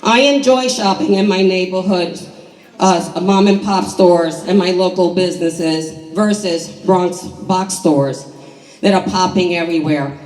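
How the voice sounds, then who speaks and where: A hoarse woman in her sixties from New York City gives an emphatic, deliberate prepared speech at a community meeting. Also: on the low side, darkish tone. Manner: emphatic, deliberate rhetorical delivery. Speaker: community housing activist. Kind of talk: prepared public speech at a town hall. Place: large indoor community hall. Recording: echoey hall, distant microphone.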